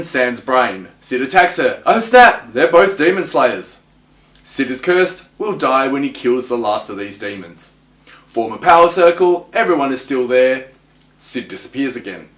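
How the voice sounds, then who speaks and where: A man delivering recap and analysis commentary as a host, with wry, clipped deadpan quips. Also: mid-range voice. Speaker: host of a TV episode analysis show. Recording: roomy, echoing voice recording.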